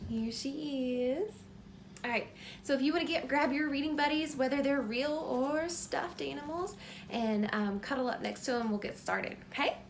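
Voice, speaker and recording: A woman reading a children's story aloud like a teacher, pausing to explain simply and ask encouraging questions. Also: high voice, a little hiss or hum.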